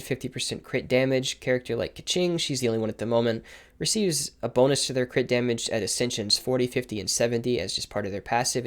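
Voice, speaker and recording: A man with a slightly hoarse voice, giving a quick, informal explanation of game mechanics with asides and self-corrections. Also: mid-range voice. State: slightly hoarse from repeated takes. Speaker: game guide creator. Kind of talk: explaining game mechanics over gameplay footage.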